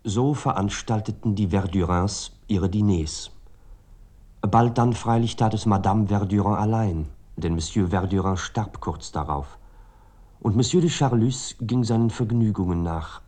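Cultured, reflective literary narrator for radio drama, low register, measured flowing delivery. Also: male voice, warm tone. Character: Marcel, reflective literary first-person narrator, cultured Frenchman.

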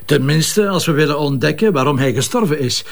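Gravelly Flemish police commissioner. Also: male voice, a little high, lively intonation, brisk. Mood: grave, insistent. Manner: formal, probing questioning. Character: male police commissioner, probing, measured, persistent. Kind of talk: naturalistic Flemish TV crime drama acting.

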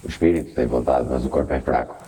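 A man's voice: rumbling low, dark tone, slightly rough. A man playing a ponderous, cryptic joker in a radio drama. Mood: ponderous, amused. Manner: slow, ponderous delivery.

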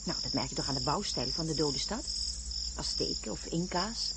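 Resolute astronaut, lively intonation. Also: female voice, sits low. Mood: insistent. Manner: brisk, reasoning, insistent. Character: resolute, practical female astronaut leading stranded companions. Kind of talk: Dutch science-fiction radio drama acting. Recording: noisy radio play with cricket ambience.

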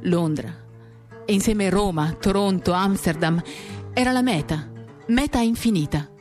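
A woman giving a measured, expressive dramatic reading of a first-person novel, pausing as she tells it. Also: medium pitch. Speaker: reader of a literary work. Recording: acoustic guitar music behind the voice. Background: acoustic guitar accompaniment.

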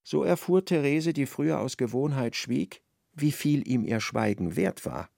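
A man with a low, creaky voice narrating a literary radio drama. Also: animated, measured pace, darkish tone, no room sound, clean studio sound.

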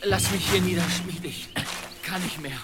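An actor playing a weary, inquisitive traveller in a historical radio play, speaking tersely and probingly. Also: male voice, high-pitched, moderate pace, heavy background noise, natural voice. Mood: exhausted.

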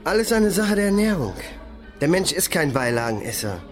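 Blustering, opinionated man, slightly high. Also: very animated, normal speed, soft background music. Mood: pompous. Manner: pompous pronouncements, coarse cursing. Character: opinionated meat-loving male band musician, blustering and hot-tempered. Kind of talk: radio play acting.

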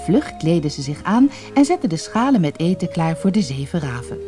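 A woman storyteller giving an expressive, scripted fairy-tale narration. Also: on the low side, normal speed, soft background music.

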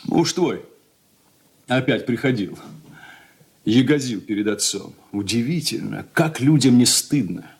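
An actor in a radio drama playing a snobbish, irritable grown son, curt and disdainful. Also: male voice, mid-range voice, animated, unhurried, gravelly, pauses often. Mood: bored contempt. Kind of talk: Russian stage-style radio drama acting.

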